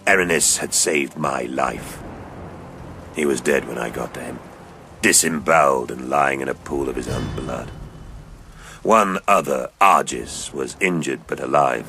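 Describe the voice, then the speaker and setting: A man playing a grim, haunted veteran space-soldier sergeant in an audio drama, speaking slowly and solemnly in a low, hushed growl. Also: faint music behind, heavily acted. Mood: grim, sorrowful. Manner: slow, brooding, solemn. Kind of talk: audio drama character acting.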